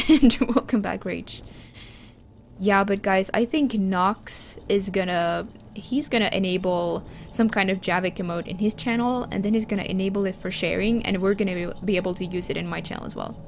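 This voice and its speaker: A woman's voice: mid-range voice, moderate pace, vocal fry, slight background noise. A woman from Finland, a native Finland Swedish speaker, chatting casually on a livestream and answering her chat, with frequent restarts. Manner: chatty, restarts often, reads chat aloud. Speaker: streamer.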